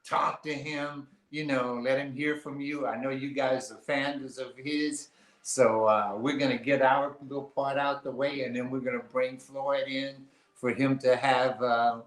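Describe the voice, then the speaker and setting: A man's voice: mid-range voice, little pitch movement, a little slow. A man co-hosting a casual live-stream show, talking in a loose, rambling way with plenty of fillers and restarts. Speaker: co-host of a live online artist marketplace show. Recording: roomy echo.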